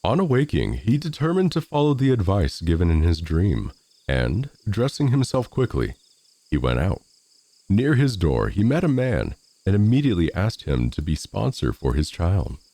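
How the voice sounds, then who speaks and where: Male narrator for classic fairy tales, low and mellow, with very wide swings in pitch. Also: big pitch swings, moderate pace, dry recording. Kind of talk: scripted storybook narration.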